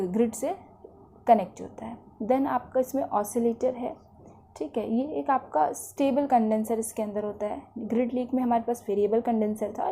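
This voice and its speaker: A woman's voice: on the high side, dark tone, slight background noise. A woman with an Indian accent explains material as a lecturer, in a didactic way with short check-in questions. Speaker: physiotherapy lecturer.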